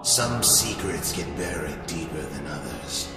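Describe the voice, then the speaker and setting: An actor playing a sinister, menacing killer in a video game, murmuring slowly and ominously. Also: male voice, a bass voice, lively intonation, creaky, echoey room, loud music behind the voice, heavily acted. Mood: quiet menace. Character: sinister, menacing cannibal killer interrogating victims.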